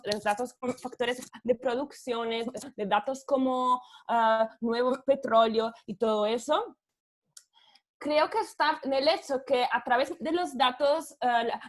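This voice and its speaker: A woman whose first language is Italian, giving an academic panel talk in Spanish over a video call, hesitant and searching for words, with many fillers. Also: high voice. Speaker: guest speaker, author.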